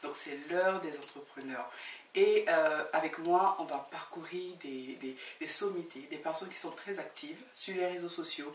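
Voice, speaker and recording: A woman's voice: low-pitched, normal speed. A woman hosting a conversational interview, often repeating and rephrasing what she asks. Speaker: talk show host, interviewer. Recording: roomy, distant mic, faint noise.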